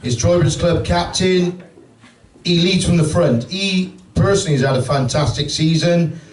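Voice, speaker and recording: A man's voice: fairly high pitch, moderate pace. A man with an English accent hosting a club awards evening, chatty and laughing as he introduces the next speaker. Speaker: master of ceremonies. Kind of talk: awards presentation. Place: large function room at a dinner. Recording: echoey room, distant mic.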